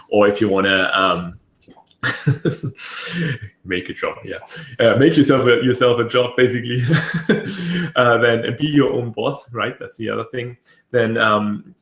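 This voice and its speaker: A man with a raspy voice hosting a casual webinar, rambling with many fillers and restarts. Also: medium pitch, a bit bright. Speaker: webinar host. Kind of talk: casual live webinar talk. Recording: echoey room.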